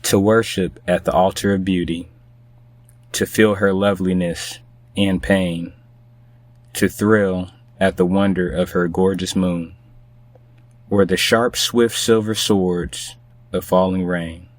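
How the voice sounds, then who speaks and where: Low-voiced man reciting poetry. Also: fairly even intonation, slow pace.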